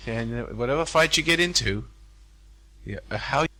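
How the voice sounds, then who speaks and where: A man's voice: medium pitch, expressive, moderate pace, pushed and bright, poor audio. Older man preaching to a seminar crowd. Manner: folksy, preacherly, repeats phrases for emphasis. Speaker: seminar speaker. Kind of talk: live seminar talk with audience. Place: hotel function room.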